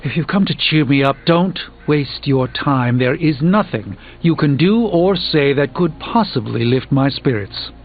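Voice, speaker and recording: A man's voice: on the high side, animated, unhurried, hardly any pauses. A man playing a proud middle-aged sorcerer in a video game, speaking formally and solemnly. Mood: despondent. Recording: tinny band-limited game audio with music.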